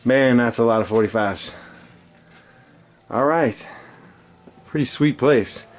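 A man casually narrating a handheld walking tour of a big record store, talking excitedly to his viewers. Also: mid-range voice, slow pace, slight background noise. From New York. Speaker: vlogger touring record stores. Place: large indoor record store. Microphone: handheld camera mic. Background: store music playing.

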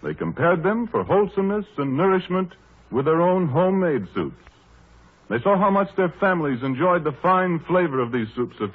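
Lively 1930s radio announcer man. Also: fairly high pitch, husky. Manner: formal, polished salesman delivery. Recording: muffled, narrowband 1930s radio broadcast.

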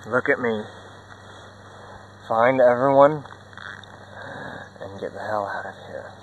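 A man's voice: mid-range voice, slow, highs cut off, voice pushed down, close to an everyday voice. A man voicing a wounded, dying soldier who talks in a weak, breathless whisper. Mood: fading. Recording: loud background noise.

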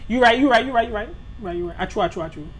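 A man's voice: high-pitched, expressive, fast talker, fairly bright tone, a little hiss or hum. Young man joking through a horror game. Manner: loose, joking trash talk with slang and swearing; talks straight to viewers. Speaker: gaming video creator. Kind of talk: live gameplay commentary.

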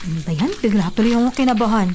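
A woman playing a jittery, easily frightened young woman in a radio drama, excitable in delivery. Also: mid-range voice, very fast, music in the background, lightly acted. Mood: nervous. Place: radio studio booth. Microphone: studio mic.

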